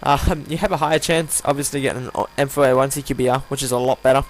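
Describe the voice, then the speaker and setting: A tired man with a croaky voice gives casual, unscripted game commentary, rambling and hesitant as he reviews. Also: mid-range voice, fairly even intonation, fast talker, low-quality recording. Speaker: gaming video host reviewing a weapon. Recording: home recording with audible hum, background noise.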